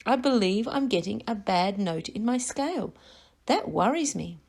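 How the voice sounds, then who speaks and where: A woman's voice: mid-range voice, animated, moderate pace, vocal fry, darkish tone. A woman voicing a nervous young male nightingale who stutters and whines tearfully. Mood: fretful.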